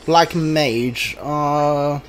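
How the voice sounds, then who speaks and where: Halting, slow-paced gamer thinking aloud. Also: mid-range voice. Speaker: video game player and commentator. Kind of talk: casual live playthrough commentary. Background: video game music.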